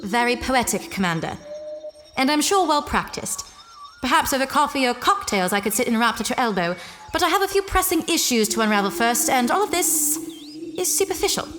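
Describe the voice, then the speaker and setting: A woman playing an imperious, witty space-travelling wife in an audio drama, crisp, formal and dryly cutting. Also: high-pitched, big pitch swings, brisk, a bit bright. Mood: cool impatience. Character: imperious, impatient, witty upper-class wife and space trader. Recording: long reverb, music underneath.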